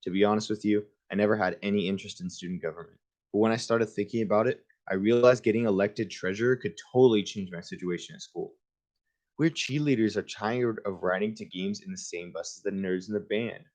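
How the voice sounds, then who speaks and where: Low voiced guy reading a kids book out loud, chill and informal with little side comments. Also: fairly even intonation, a little fast. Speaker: reader hosting a book livestream. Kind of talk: reading a children's book aloud with casual asides.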